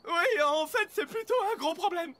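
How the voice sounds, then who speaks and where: An actor voicing a slow-witted, carefree young colt sidekick, literal and artless, in a dubbed children's cartoon. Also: very high voice, pushed and bright. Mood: worried.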